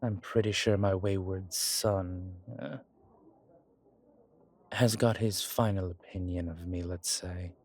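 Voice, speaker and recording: Guy doing an old vampire gent, low voice, real slow, dramatic n kinda vain, audio roleplay. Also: fairly even intonation, full character voice. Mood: rueful, hesitant. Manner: theatrical, formal, hesitant pauses, soft chuckles. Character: old male vampire, vain, dramatic, genteel, grateful, rueful.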